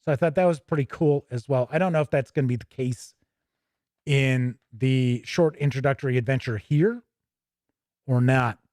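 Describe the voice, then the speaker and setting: A man's voice: medium pitch, normal speed, a little mellow, no room sound, studio quality. A man hosting a tabletop gaming show, casually thinking aloud and drifting into asides as he pages through a book. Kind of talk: casual live commentary while paging through a rulebook.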